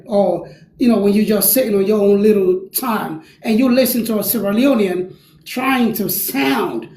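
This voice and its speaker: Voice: mid-range voice, darkish tone. An interviewer asking easygoing questions and giving brief backchannels to a guest. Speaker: interviewer host. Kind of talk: casual interview. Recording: echoey room, faint noise.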